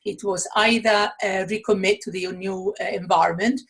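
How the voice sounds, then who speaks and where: Italian-accented woman, gravelly and husky. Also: medium pitch, steady tone, normal speed, a bit bright. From Italy. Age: middle-aged. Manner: thoughtful, with many fillers and restarts. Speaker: author and coach. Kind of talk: interview answers.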